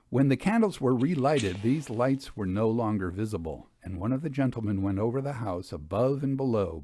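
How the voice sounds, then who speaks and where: A man reading a Victorian ghost story as scripted narration, in a measured storytelling delivery. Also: medium pitch, a little mellow, slightly rough, no room sound, studio quality. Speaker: audiobook reader.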